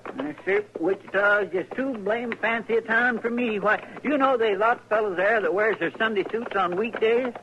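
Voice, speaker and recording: An actor playing a folksy, chatty, loyal deputy sidekick in a radio western, with a rural Southern drawl and an earnest, rambling way of talking. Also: male voice, high voice, moderate pace, hardly any pauses, lightly acted. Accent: rural Southern American drawl. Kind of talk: 1950s radio western acting. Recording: muffled, noisy vintage radio recording.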